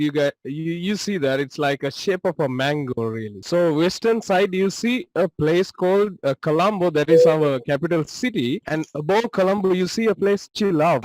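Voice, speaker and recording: A man's voice: a little high, normal speed, hardly any pauses, no echo, rough recording. A man from Sri Lanka with a Sri Lankan accent, a native Tamil speaker, presenting slides to students over a video call in a friendly, loose way with many fillers. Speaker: guest presenter.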